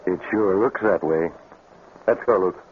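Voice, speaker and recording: An actor playing a firm, plain-spoken small-town sheriff with a folksy, deliberate manner in 1950s radio drama. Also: male voice, sits low, slight background noise. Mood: stern. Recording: thin, phone-like vintage radio recording.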